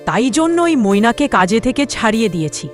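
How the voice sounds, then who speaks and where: A woman's voice: on the high side, sing-song, a little fast, faint music behind. A woman voicing a domineering older mother-in-law in a cartoon, bossy, cutting and condescending. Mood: scornful, scolding. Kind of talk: dramatic Bengali cartoon voice acting.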